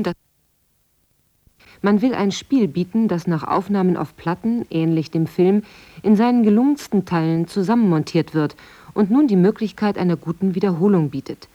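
Dark, mellow, lowish-voiced woman reading. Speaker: reader in a radio documentary. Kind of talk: formal reading of historical press reviews.

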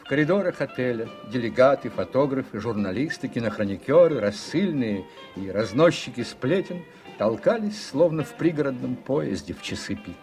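A man narrating a radio play from a script in a storytelling manner, with a husky voice. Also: medium pitch, lively intonation, moderate pace, a little mellow, faint music behind.

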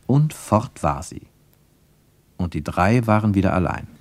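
Lowish-voiced man narrating, dry studio. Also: expressive, a little slow, no room sound. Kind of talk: scripted literary narration for radio play.

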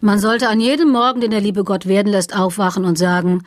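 A flippant, world-weary lady novelist, played by an actress in a radio satire. She chats casually and wryly at a medium pitch, in a very dry studio sound. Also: steady tone, a little fast, no echo, natural voice. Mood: sardonic, musing. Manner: wry, casually chatty.

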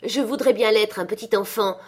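A woman's voice: medium pitch, moderate pace, husky, lightly acted. A woman voicing a giddy, reborn old miser in an exclamatory, breathlessly exuberant storybook reading. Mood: excited wonder.

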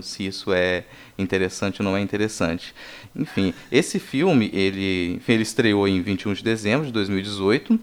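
A man with a Brazilian accent hosting a conversational film discussion, explaining things in a halting way. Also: on the low side, clean tone. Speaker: podcast host.

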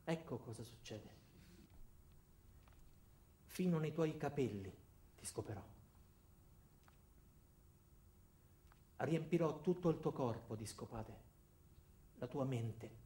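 An actor playing an ordinary man turned bitter and cruel, delivering a deliberate, theatrical first-person monologue for a radio drama. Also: medium pitch, close to an everyday voice. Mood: menacing, obsessive. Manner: theatrical, deliberate, swinging from loud to hushed.

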